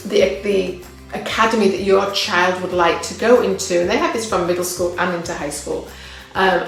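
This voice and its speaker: A woman's voice: medium pitch, heavy reverb, far from the mic, rough recording. A woman with an English accent, talking casually and upbeat about local living, with fillers and restarts. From England. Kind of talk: casual talk to camera.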